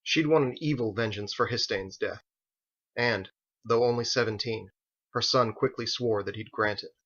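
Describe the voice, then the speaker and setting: A man's voice: lowish voice, normal speed, a bit bright. A man reading his own fiction aloud as a scripted audiobook narration. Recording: dull lo-fi recording.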